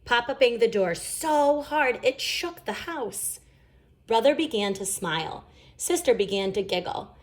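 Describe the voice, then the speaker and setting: A woman reading a children's story aloud in an expressive, emphatic storytelling manner. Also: a little high, measured pace. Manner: animated, emphatic. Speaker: storybook reader.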